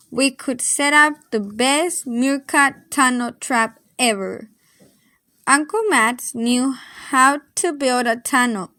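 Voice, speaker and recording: A woman reading a children's storybook aloud in an expressive, deliberate, dramatic way. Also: high-pitched, dry recording.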